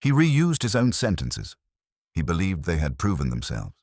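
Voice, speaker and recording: A poised, reserved woman who works at a doctor's surgery, read by a low-voiced man. She answers quietly and exactly in a mystery audiobook, with varied intonation and no room echo. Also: huge pitch swings, moderate pace, clean studio sound, exaggerated voice. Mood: composed.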